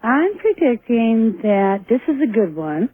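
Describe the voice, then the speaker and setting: A woman's voice: a little high, very animated, unhurried, breathy edge, no echo. An American woman calling in to a radio show, chatting casually. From Montana, United States. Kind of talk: casual call-in chat. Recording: thin dry phone line on radio.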